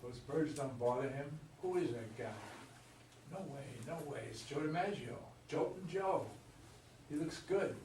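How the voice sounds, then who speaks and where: A man's voice: a little high, measured pace, natural voice. A man reading the part of a brash, world-weary gambler husband in an amateur stage play. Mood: weary musing. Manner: blunt, colloquial, grumbling. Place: indoor room at a live reading. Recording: echoey room, distant mic, noisy.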